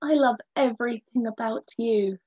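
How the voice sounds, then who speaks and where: Storybook caterpillar, young and female, gentle but reproachful as a woman reads her, slightly high voice, dull lo-fi sound. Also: normal speed, breathy edge. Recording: dull lo-fi recording.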